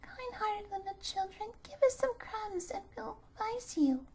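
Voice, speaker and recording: A woman's voice: unhurried, darkish tone. A woman doing chirping little birds. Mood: coaxing. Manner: high, light and chirpy.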